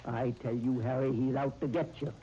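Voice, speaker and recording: An actor playing a shrewd, emphatic professor and legal counsel in a 1930s radio soap opera. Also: male voice, mid-range voice, little pitch movement, brisk, warm tone, lightly acted. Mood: scolding, emphatic. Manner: emphatic, cautioning, old-fashioned slangy talk. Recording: muffled, hissy 1939 radio disc recording.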